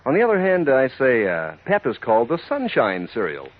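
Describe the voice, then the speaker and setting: A man with a husky voice giving a chummy, folksy 1940s radio commercial pitch. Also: huge pitch swings, fairly quick. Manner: chummy, addresses listeners as pals. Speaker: commercial announcer. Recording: thin, phone-like 1940s radio recording.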